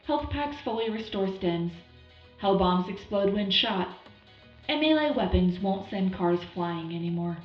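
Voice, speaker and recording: A woman's voice: mid-range voice, animated, unhurried, reverberant, lo-fi sound, soft background music, lightly acted. A woman playing an upbeat, zealous wartime radio presenter, reading news in a punchy, propaganda-slogan style. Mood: upbeat, patriotic. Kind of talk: scripted in-universe radio news update.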